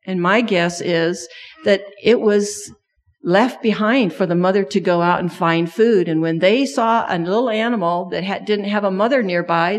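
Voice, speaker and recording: A middle-aged woman whose first language is Thai tells a children's sermon story at a slow, unhurried pace. She keeps stopping to ask the children questions as she goes. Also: mid-range voice. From Thailand. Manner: asks children many questions.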